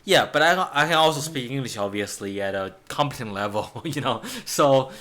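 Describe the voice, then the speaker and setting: A man's voice: mid-range voice, animated, moderate pace, a bit bright. Chatty man in his thirties, rambling. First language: Chinese. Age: 30s. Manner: rambling, restarts, laughs often. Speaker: art teacher. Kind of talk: casual chat while drawing.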